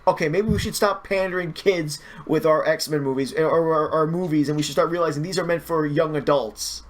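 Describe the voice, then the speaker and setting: A man's voice: a little high, a little fast. A man co-hosting a casual podcast about movies, speaking earnestly and emphatically, repeating phrases for emphasis. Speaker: podcast co-host.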